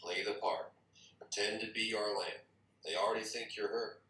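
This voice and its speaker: Low, bright-edged secret agent played by a man. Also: steady tone, moderate pace. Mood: persuasive. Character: insistent, businesslike male secret agent. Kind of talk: screenplay table read acting. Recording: echoey room, distant microphone.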